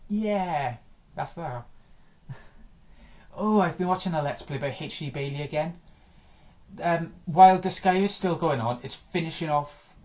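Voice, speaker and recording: A man chatting casually about video games, rambling and offhand, his voice a little hoarse after a day of recording. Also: on the high side, a little mellow. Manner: rambling, offhand, frequent restarts and small chuckles. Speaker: gaming vlogger.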